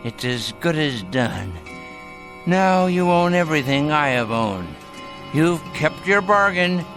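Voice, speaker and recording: An actor playing a bitter, scornful old dying patriarch in a radio drama, speaking in a theatrical, deliberate way. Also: male voice, on the high side, big pitch swings, over music, exaggerated voice. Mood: bitter contempt. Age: older. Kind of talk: dramatic radio play acting.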